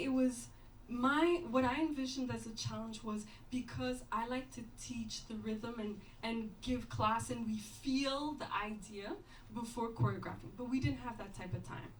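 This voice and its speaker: A woman's voice: on the high side, slight background noise. A woman choreographer chatting earnestly at a post-show talkback, full of fillers like "um" and "you know". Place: performance venue. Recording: distant mic, faint ambience.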